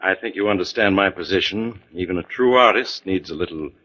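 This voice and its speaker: A man's voice: sits low, a little fast, bright, forceful tone. A man acting in 1940s radio style as a glib, dandyish promoter with an ingratiating, scheming manner. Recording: dull vintage 1940s radio recording.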